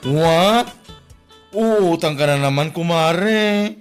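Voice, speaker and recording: A woman's voice: fairly low pitch, very slow, over music. A woman acting an exasperated village storekeeper, grudging and complaining, in a comedy drama. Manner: reluctant, complaining.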